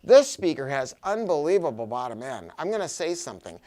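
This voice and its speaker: Male American product reviewer, highly animated delivery, chatty and emphatic, off-the-cuff salesman style. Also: a little fast, low-quality recording. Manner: chatty, emphatic, repeating words for stress. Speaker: audio shop owner presenting a product review. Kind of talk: enthusiastic unscripted product pitch.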